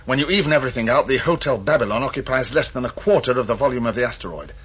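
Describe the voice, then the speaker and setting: A man's voice: medium pitch, animated, very fast, fairly bright tone, slightly rough, lo-fi sound. An actor playing a cold, scheming, confident crewman in a science fiction radio drama, terse, calculating and dismissive.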